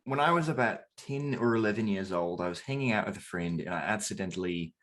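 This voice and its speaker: A man's voice: lowish voice, expressive, quick pace, a bit bright. A man of twenty-one giving casual interview answers, hesitant and rambling with many restarts.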